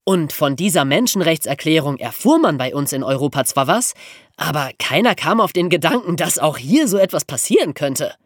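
A woman explaining things as a podcast host in a lively educational storytelling style. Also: deep voice, normal speed, no room sound, clean studio sound.

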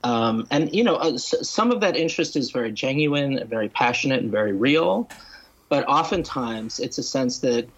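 A man speaking as a guest writer in a literary podcast discussion, halting and deliberate, restating and listing his points. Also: medium pitch, expressive, husky, highs cut off. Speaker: guest novelist and critic.

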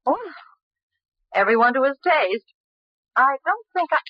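A woman's voice: high-pitched, sing-song, measured pace, no room sound, muffled recording. A woman playing a wary, inquisitive, independent woman in an old-time radio mystery. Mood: questioning.

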